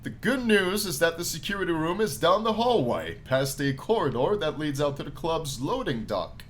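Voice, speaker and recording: A man playing a game on his channel, reading the story aloud as animated narration and dropping in casual, jokey asides. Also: on the high side, moderate pace, a little hiss or hum. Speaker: gaming YouTuber reading a visual novel aloud. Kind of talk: let's play commentary mixed with dramatic read-aloud narration.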